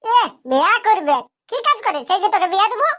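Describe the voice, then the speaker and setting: Man playing a grumpy high-voiced cartoon father. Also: big pitch swings, fast, loud music behind the voice. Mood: scolding. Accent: Purulia Bengali. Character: grumpy, scolding village father of grown son. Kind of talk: comic cartoon dialogue.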